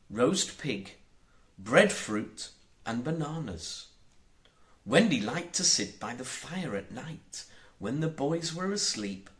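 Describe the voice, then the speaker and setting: A man narrating a children's storybook in a measured, deliberate and expressive way. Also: mid-range voice. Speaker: audiobook narrator.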